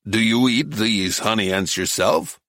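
A man's voice: fairly low pitch, measured pace, a bit bright, no echo, studio quality. A man voicing an amiable, literal-minded American male tourist in an audiobook, questioning and puzzled. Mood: polite, probing. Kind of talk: acted audiobook dialogue.

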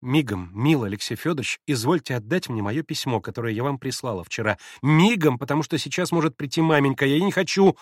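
A man reading aloud, voicing an excitable, imperious young invalid girl who gives urgent commands. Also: mid-range voice, fast talker, few pauses, no room sound, clean studio sound, close to an everyday voice.

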